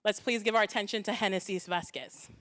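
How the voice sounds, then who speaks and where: A woman's voice: mid-range voice, fairly even intonation, brisk, a bit bright. A woman emceeing a gala on stage with a handheld microphone, chatty and joking with the audience. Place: event hall stage.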